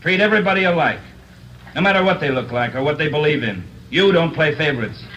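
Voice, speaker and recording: A man's voice: a little high, animated, quick pace, fairly bright tone, dull audio. An American man playing a middle-aged veteran police officer, giving a blunt, emphatic speech in a 1940s radio drama. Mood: stern, earnest. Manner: plain-spoken, emphatic, hard-bitten. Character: blunt, earnest veteran male police officer lecturing cadets. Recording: hissy old 1940s radio recording.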